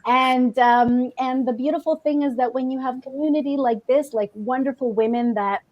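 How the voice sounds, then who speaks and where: A woman podcast guest from a Colombian family, telling her personal story conversationally in an animated way. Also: sits high, normal speed, highs cut off. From Colombian household.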